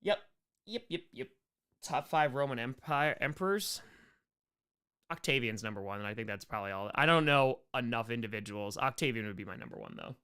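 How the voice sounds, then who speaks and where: A man with a bright, clear voice hosts a casual live chat. His pitch jumps around a lot in an animated way. He jokes and often repeats short phrases, like a streamer answering viewers. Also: a little fast.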